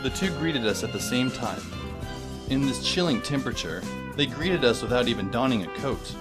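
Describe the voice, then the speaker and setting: A man narrating an audio drama as a wry, deadpan teenage boy. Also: mid-range voice, moderate pace, loud music behind the voice, natural voice.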